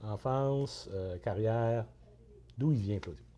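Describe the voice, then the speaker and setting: A man with a Quebec French accent hosting an interview, chatty and colloquial in a relaxed way. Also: lowish voice, slow, faint music behind. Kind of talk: casual interview.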